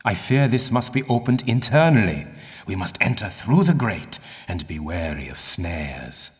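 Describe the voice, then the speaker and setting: An older, stern archaeologist guides a student in a video game. His voice is low and rolls widely in pitch. He is formal, pompous and condescending, echoing in a long cavernous reverb. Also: very animated, unhurried. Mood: measured, instructive. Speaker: mentor and guide. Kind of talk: video game dialogue and tutorial instruction.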